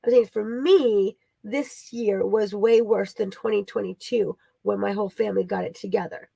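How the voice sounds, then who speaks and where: Creaky-voiced woman giving a casual update. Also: mid-range voice, fairly even intonation, normal speed, dark tone, dry recording. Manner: chatty and rambling, many fillers and asides. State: recovering, voice partly back after losing it. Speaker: vlogger and online teacher. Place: her home.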